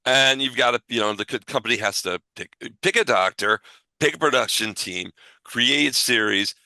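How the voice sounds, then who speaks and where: A man with a bright, forceful voice and middling pitch co-hosts a casual fan discussion. He thinks aloud, halting and correcting himself as he goes. The sound is close and very dry. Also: no echo, rough recording. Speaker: podcast co-host.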